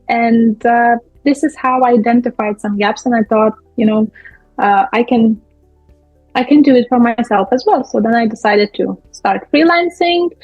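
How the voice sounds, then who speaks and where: A young woman from Lithuania, a guest speaking in a friendly, halting way with many fillers. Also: fairly high pitch, breathy edge, dry recording. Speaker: guest, business owner. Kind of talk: casual interview talk. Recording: muffled, dull audio.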